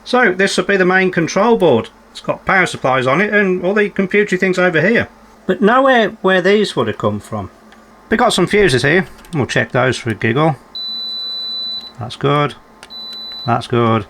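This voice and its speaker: Man, higher-pitched, lively musing delivery. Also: normal speed. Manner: musing aloud, asking himself questions. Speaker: electronics repairer presenting a repair video. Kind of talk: unscripted running commentary while working.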